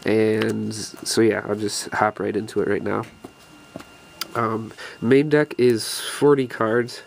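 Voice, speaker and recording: A man's voice: lowish voice, flat delivery, slow, vocal fry, a little hiss or hum. A young man with a cold, sounding congested and short of breath, rambling through a card deck walkthrough with many ums and restarts. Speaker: deck profile video host. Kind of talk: casual game deck walkthrough.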